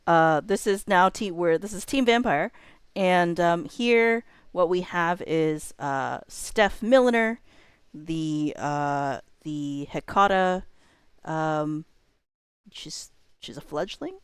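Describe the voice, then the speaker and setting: A woman's voice: lowish voice, takes it slowly, clear, resonant tone, no echo. A woman hosting a livestream, chatting casually to viewers in a joking way with wandering asides. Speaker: livestream host.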